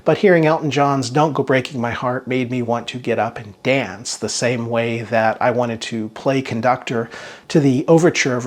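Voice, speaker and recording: Man reading a measured scripted product review. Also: mid-range voice. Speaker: audio equipment reviewer.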